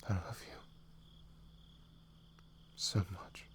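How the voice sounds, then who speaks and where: A man voicing a devoted, protective knight, soft, breathy and earnest, in a romantic ASMR fantasy roleplay. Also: very slow, background noise. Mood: tender, protective. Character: devoted young knight, protective, wary, tender lover.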